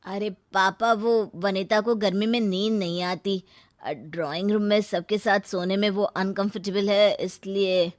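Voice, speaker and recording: A woman's voice: mid-range voice, fairly even intonation, measured pace, no room sound, studio quality, close to an everyday voice. A woman voicing a defensive adult son in a family story, explaining in a casual manner.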